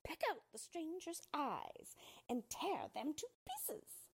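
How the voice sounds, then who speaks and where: A woman voicing a furious wicked witch who barks orders in a high, piercing voice. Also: huge pitch swings, slow pace, gravelly, exaggerated voice. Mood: commanding.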